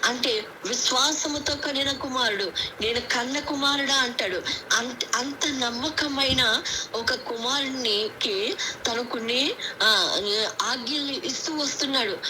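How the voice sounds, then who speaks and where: A woman preacher giving extempore devotional Bible teaching in a halting way, repeating and restarting words, on a conference call. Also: a little high, sharp tone. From southern India. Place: prayer meeting conference call. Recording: noisy conference phone call.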